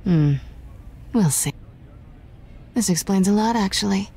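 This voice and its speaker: A woman playing a sly, flirtatious, self-assured thief in a video game, with a teasing, sultry, deliberate delivery. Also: mid-range voice, dark tone, slightly rough.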